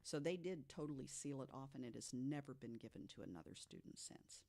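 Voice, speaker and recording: Podcast co-host, mid-pitched, deliberate delivery from notes, conversational with emphatic asides. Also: expressive, vocal fry, warm tone. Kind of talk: storytelling from notes to co-hosts.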